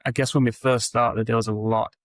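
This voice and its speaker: A man, a recruitment founder answering interview questions over a video call in a relaxed, steady way with frequent ums. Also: mid-range voice, fairly quick, no echo, studio quality. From England.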